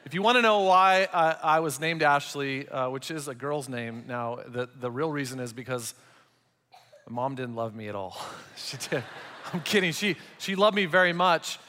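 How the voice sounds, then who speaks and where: A man preaching to a church crowd in a relaxed, joking way. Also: a little high, normal speed. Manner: joking, conversational. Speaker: pastor. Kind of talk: sermon. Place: large church auditorium. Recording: long hall reverb.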